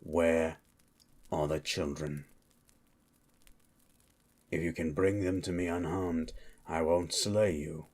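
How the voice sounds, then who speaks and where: Casting: male actor for a menacing hired monster slayer, fantasy audio roleplay. Husky, extremely deep, terse and cold, with flat delivery. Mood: suspicious, stern. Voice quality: husky, very low. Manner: slow, deliberate, terse, coldly interrogating. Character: grim, menacing male monster hunter for hire, calmly threatening. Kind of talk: improvised ASMR fantasy roleplay.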